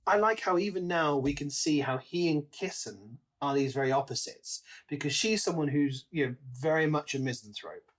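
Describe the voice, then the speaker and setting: A man's voice: a little high, normal speed, hardly any pauses. A man co-hosting a podcast, discussing a book casually and conversationally, slipping into paraphrased quotes. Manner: conversational, acts out imagined quotes. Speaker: podcast co-host.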